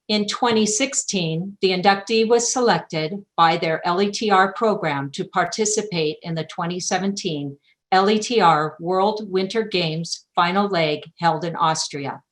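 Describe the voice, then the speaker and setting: A woman with a slightly low voice reads a formal award citation over a video call. Her delivery is measured and scripted, as a ceremony presenter. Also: low-quality recording. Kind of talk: formal scripted speech, read aloud. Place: video call from an indoor room. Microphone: video call microphone.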